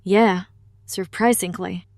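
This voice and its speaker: A woman's voice: medium pitch, steady tone, takes it slowly, a little mellow, dry recording, clean studio sound. An actress playing a guarded, blunt woman investigator in an audio drama, speaking warily.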